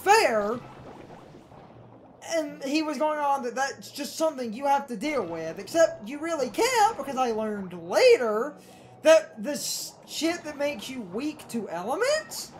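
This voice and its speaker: A woman's voice: high-pitched, big pitch swings, unhurried, a little hiss or hum. A woman giving casual gaming commentary in a blunt, opinionated way. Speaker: co-host of a let's play series.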